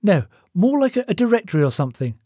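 A man's voice: sits high, sing-song, fast talker, dark tone, husky, dry recording, studio quality, pitched above the performer's own voice, full character voice. A man voicing a brash, bossy male office boss in an audiobook story, blunt and matey as he gives orders. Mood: brisk, instructing.

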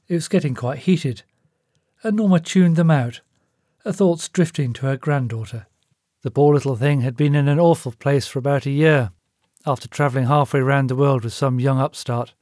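A man narrates a novel in a mid-pitched voice. The recording is very dry and close, with the scripted delivery of an audiobook reader. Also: darkish tone, dry recording.

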